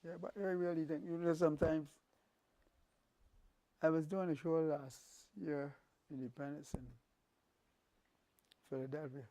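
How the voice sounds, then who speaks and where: A man's voice: on the high side, unhurried, pauses often, low-quality recording. An older man, a calypso singer telling rambling stories in an interview. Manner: rambling, animated storytelling with repeated restarts. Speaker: calypsonian guest. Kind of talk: casual interview storytelling.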